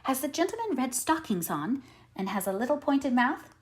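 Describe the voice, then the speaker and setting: Storybook widow fox, played by a woman: imperious, fussy, raised and swooping pitch. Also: very animated, moderate pace. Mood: choosy. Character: fussy, imperious widowed vixen seeking a husband. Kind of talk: storybook read-aloud character voice.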